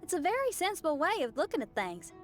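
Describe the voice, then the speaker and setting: High, lively young adventurer. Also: female voice, fast talker, soft background music, exaggerated voice. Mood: upbeat. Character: upbeat, easygoing young female companion. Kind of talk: scripted video game dialogue.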